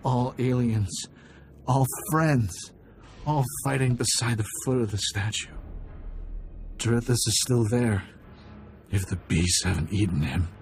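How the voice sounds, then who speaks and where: A man's voice: mid-range voice, a little slow, pauses often, slight background noise, natural voice. An actor playing a drugged male slave in a video game, confused and in pain, speaking in a drifting, stammering way. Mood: dazed, mournful. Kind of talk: video game cutscene acting.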